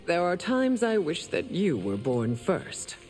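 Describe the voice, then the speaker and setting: A woman's voice: lowish voice, huge pitch swings, slow pace, in a large hall, over loud music, heavily acted. An actress playing a proud, stern empress and mother in a video game, speaking formally and imperiously. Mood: stern, measured. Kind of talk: dramatic video game dialogue.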